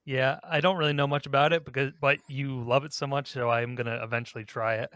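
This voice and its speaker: A man's voice: mid-range voice, fairly even intonation, fast talker, a bit bright, no room sound, clean studio sound. A man hosting a casual panel discussion, talking in an informal, conversational manner. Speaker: host of a ranking podcast.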